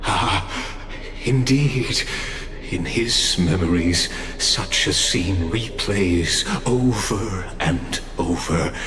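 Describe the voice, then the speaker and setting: An actor playing a grandiose, sardonic master of ceremonies who savors each phrase in a dramatic video game monologue. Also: male voice, low-pitched. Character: theatrical, sardonic male master of ceremonies guiding a visitor. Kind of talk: dramatic scripted game monologue, quasi-Shakespearean. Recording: echoing voice over loud music.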